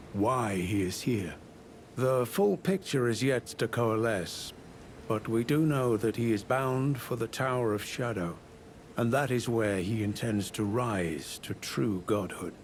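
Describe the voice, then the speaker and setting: An actor playing a courteous, world-weary old knight turned scholar in a video game, speaking in formal, measured, archaic phrasing. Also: male voice, medium pitch, a little hiss or hum, close to an everyday voice. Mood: solemn, thoughtful. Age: older. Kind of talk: video game character dialogue.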